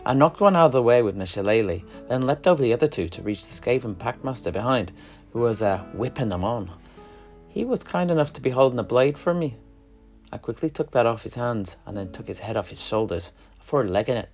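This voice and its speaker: A man's voice: mid-range voice, lively intonation, brisk, a little mellow, faint music behind, natural voice. A man playing a teasing, roguish alchemist in a fantasy audio drama, spinning tales in a colourful, theatrical way. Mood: teasing, boastful. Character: roguish, teasing male alchemist and rat slayer.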